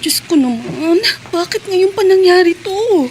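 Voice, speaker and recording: A woman's voice: very high-pitched, lively intonation, unhurried, a little mellow, slight background noise. A woman playing a panicky, guilty fugitive with a Filipino accent, agitated and melodramatic in a radio drama. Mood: anxious fretting.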